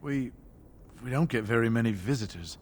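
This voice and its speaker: An actor voicing a suspicious, guarded village shopkeeper in a video game. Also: male voice, medium pitch, moderate pace, a little mellow, a little hiss or hum, close to an everyday voice. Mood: wary. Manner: guarded, curt. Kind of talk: video game dialogue acting.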